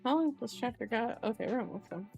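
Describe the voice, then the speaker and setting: A woman's voice: fairly high pitch, lively intonation, fast, vocal fry, darkish tone. A woman doing a casual ASMR book reading, chatting playfully to viewers with informal asides and correcting herself as she reads. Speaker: streamer, reader. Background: background music.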